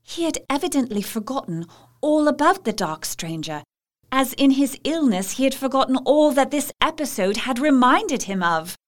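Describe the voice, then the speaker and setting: Casting: tender, anxious young English wife, fairly high voice, earnest diary narration for radio drama. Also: female voice, big pitch swings, moderate pace. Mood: worried resolve.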